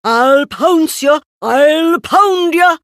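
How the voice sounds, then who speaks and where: A woman's voice: sits high, lively intonation, very slow, a bit bright, dry recording, studio quality, pitched above the performer's own voice, full character voice. Woman voicing a bouncy, boisterous storybook tiger. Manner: exuberant.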